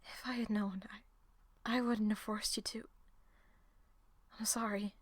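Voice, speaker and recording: A woman playing a gentle, caring young roommate in an audio roleplay, speaking with an earnest, hesitant manner. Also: mid-range voice, flat delivery, a little slow, dry recording, natural voice. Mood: remorseful, tender. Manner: hesitant, soft-hearted.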